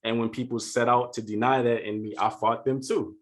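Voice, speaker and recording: A man from Louisiana giving a prepared keynote speech for a virtual conference, speaking in an earnest, measured and deliberate way. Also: medium pitch, steady tone. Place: home setup for a virtual conference.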